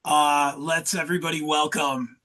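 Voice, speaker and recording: A man's voice: fairly high pitch, little pitch movement, moderate pace, bright, forceful tone. A man hosting a casual interview over a video call, upbeat and joking, with lots of fillers. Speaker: host.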